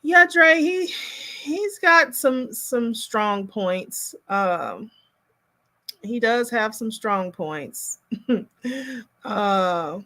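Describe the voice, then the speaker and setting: Slow-talking woman, casual livestream commentary. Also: on the high side, expressive, pushed and bright. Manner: halting, musing, thinks aloud. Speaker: host of a reaction livestream.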